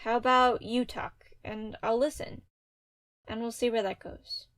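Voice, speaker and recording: A woman voicing an earnest royal pony princess in a parody cartoon, regal and measured but quick to sound wounded. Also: fairly high pitch, steady tone, fairly bright tone, dry recording. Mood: calm, conciliatory. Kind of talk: animated parody voice acting.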